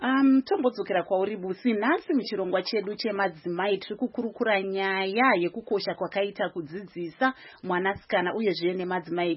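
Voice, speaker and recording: A woman hosts a radio program from the studio, slipping between English and Shona as she draws out her guests. Her voice sits at an ordinary pitch with a husky edge. The broadcast sound is slightly dull. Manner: warm prompting questions, frequent drawn-out fillers. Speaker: radio show host.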